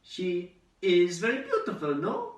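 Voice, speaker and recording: A man's voice: high voice, huge pitch swings, unhurried, pitched above the performer's own voice, heavily acted. A man voicing a flirty, suave baker in an exaggerated, playful, lilting manner for a stage monologue. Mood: flirty, jovial. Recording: roomy echo, distant microphone.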